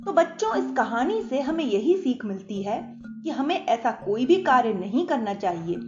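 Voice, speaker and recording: Female children's storyteller: high, expressive narration, slightly dull echoey recording. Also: moderate pace. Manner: expressive, dramatised reading. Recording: echoey dull recording under loud music.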